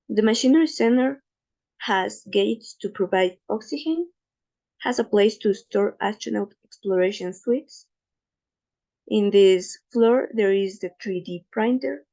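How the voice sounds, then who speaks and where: Gravelly-voiced woman presenting slides. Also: mid-range voice, dark tone. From Ecuador. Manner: careful, slightly halting non-native English with fillers. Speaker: student presenter.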